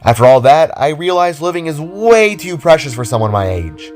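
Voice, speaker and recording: A man's voice: a little high, sing-song, normal speed, soft background music, voice pushed up. A man voicing an upbeat, caring teenage girl heroine with an earnest, encouraging manner, in an audio drama. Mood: confident, earnest.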